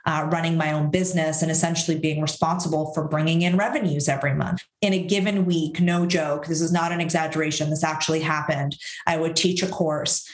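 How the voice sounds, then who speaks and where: A woman with a low voice talks candidly in an interview, looking back on her busy past with frank, reflective wording. Her voice carries a noticeable echo from the room around her. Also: fairly even intonation. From Syria. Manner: reflective, frank, lists events in quick runs. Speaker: guest, author and professor.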